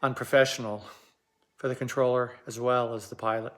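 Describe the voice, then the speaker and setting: A man giving explanatory commentary as a host, measured and pausing for emphasis. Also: medium pitch, steady tone, fairly bright tone.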